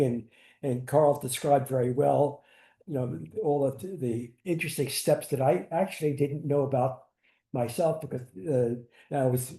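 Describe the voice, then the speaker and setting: Older male panelist, mellow higher voice, halting storyteller, roomy echo. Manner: halting, restarts, Yiddish words. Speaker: synagogue founder on a panel. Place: community hall panel. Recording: echoey room.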